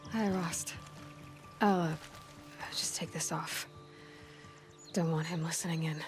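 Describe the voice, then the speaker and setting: Young warrior woman from a video game, lowish voice, soft n breathy, takes her time, lonely but resolute, music behind. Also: fairly even intonation. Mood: tender, resolute. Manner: soft, breathy, halting, reflective. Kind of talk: video game character monologue. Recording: studio game dialogue over background music.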